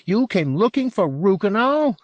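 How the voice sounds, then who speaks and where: Audiobook role: desperate, distrustful well-bred young English man, English accent, pitched high, animated and pleading. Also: unhurried, no room sound, lo-fi sound, exaggerated voice. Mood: subdued, incredulous. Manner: agitated, pleading, breathy protests. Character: wretched, desperate, suspicious educated Englishman, under thirty. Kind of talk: audiobook character acting.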